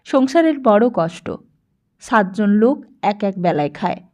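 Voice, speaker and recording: A woman's voice: medium pitch, animated, a little slow, a little mellow. A woman reading a literary story as scripted audiobook narration.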